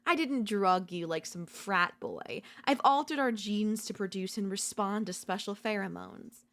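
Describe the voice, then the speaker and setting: Obsessive yandere woman, acted by a voice actress, mid-pitched, seductive, domineering, teasing, theatrical, dark ASMR roleplay. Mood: smug, teasing. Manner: slow, teasing, theatrical, slipping into whispers. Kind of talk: dark ASMR audio roleplay, intimate dramatic acting.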